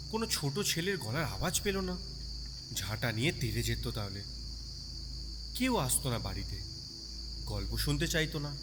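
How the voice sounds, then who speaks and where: A man's voice: mid-range voice, background noise. A man narrating a scripted story expressively, as an audiobook storyteller would. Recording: long reverb over music bed. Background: music and cricket sounds.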